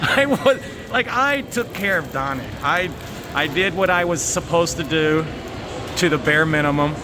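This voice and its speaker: Rambling American man, noisy outdoor vlog. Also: a little high. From United States. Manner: candid, rambling, halting, sweary. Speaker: vlogger. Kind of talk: casual rambling vlog talk to viewers. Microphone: handheld phone at arm's length. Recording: noisy outdoor recording with vehicle sounds.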